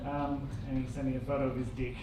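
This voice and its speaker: Deep-voiced woman in her forties, dry halting stand-up delivery, roomy and distant live-club sound. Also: level tone. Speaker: stand-up comedian. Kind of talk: stand-up comedy set. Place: comedy club stage with live audience. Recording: echoey room, mic from afar, loud crowd noise.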